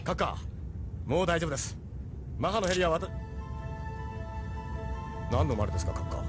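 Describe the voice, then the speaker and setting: Male sci-fi ship captain, gruff and commanding, fairly high animated delivery, loud music underneath. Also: moderate pace. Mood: respectful. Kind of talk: Japanese sci-fi anime audio drama acting. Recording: music behind the voice.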